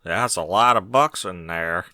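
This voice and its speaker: Man voicing a loud, drawling tipsy pony mare. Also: sits low, measured pace, no echo, clean studio sound, pitched below the performer's own voice. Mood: matter-of-fact. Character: tipsy, helpful adult pony mare, blunt.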